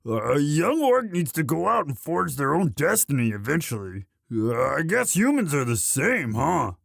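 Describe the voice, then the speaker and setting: A man playing a young orc, a gentle himbo sweetheart who talks in a halting, stammering, bashful and earnest way in a romantic roleplay audio. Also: fairly high pitch, very animated, gravelly, no room sound, clean studio sound, heavily acted. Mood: bashful, fond.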